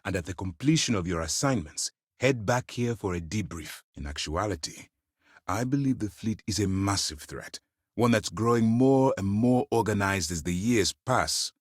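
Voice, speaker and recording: A man's voice: on the low side, animated, moderate pace, no room sound, clean studio sound. An actor playing a stern male space navy commander in a video game, speaking in a formal, authoritative way with clipped orders. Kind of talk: scripted game dialogue, dramatic military acting.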